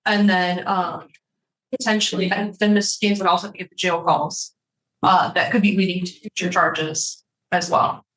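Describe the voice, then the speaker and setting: A woman's voice: on the low side, fairly even intonation, moderate pace, a bit bright, low-quality recording. A woman addressing a court as a prosecutor. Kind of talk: courtroom argument.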